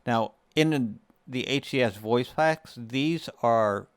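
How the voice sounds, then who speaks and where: A man's voice: mid-range voice, slow pace, clean tone, no room sound. A man hosting a gaming tutorial, explaining things step by step in a casual, conversational way. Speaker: gaming video host. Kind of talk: casual screen-share tutorial.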